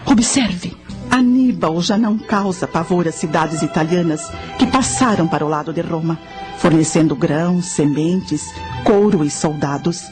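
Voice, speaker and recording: A woman playing a knowing guide who narrates ancient history in a radio drama, measured and instructive. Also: lowish voice, lightly acted.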